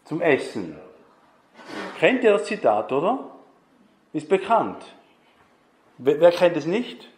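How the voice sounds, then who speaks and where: A man preaches at an unhurried, deliberate pace, talking with his congregation and quizzing them as he goes. His voice rings out in an echoey church hall. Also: fairly high pitch, sing-song. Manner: chatty, asks the congregation questions. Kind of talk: conversational sermon.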